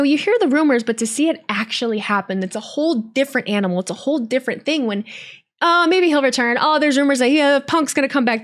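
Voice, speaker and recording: Young woman, higher voice, rambly candid podcast chat, keeps correcting herself. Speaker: wrestling streamer and podcast host. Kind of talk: casual solo podcast talk.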